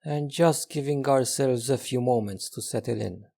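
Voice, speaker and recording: Husky, mellow man guiding meditation. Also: medium pitch. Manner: gentle, unhurried instruction with long pauses. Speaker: meditation guide. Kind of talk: guided meditation narration.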